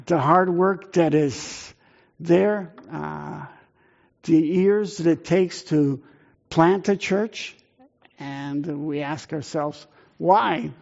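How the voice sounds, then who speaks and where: Middle-aged missionary guy, italian first language, talks slow and earnest w lots of uhs, kinda dull lo-fi sound. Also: a little high, creaky, darkish tone. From Italy. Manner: earnest, halting with many fillers. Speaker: missionary speaker. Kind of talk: missionary talk to a church.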